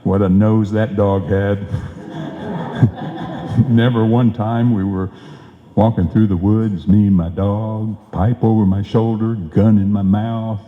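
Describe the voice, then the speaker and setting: In an echoey, muffled hall recording, a man acts out a folksy, blustering husband from a vintage radio comedy. His voice sits fairly low, and he talks in slangy exclamations like a born teller of tall tales. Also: steady tone, slow. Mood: boastful. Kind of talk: old-time radio comedy acting before a live audience.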